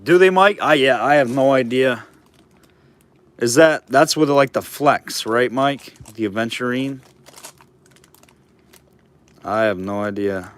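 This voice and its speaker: A man running a live online auction, calling bids and chatting loosely about the items, his throat getting hoarse. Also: mid-range voice, takes it slowly, pauses often. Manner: chatty, rambling asides between bid calls.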